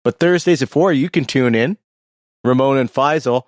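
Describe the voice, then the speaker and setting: A man chats casually as a community radio co-host. He rambles in an offhand way at a medium pitch. The recording is very dry, with hardly any room sound. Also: normal speed, no echo. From Canada. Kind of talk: casual chat.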